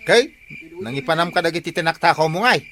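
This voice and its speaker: A high, animated Ilocano watchman. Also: quick pace, a little hiss or hum. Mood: scolding, accusing. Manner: scolding, accusing, exclamatory. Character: indignant male village watchman scolding a caught thief. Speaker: radio drama actor. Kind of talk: Ilocano radio comedy drama acting.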